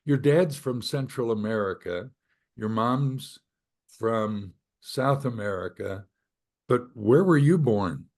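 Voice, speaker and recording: A man's voice: medium pitch, expressive, slow, darkish tone. An older man hosting a podcast interview, asking rambling, chatty questions with plenty of fillers. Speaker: podcast host. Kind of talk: casual interview.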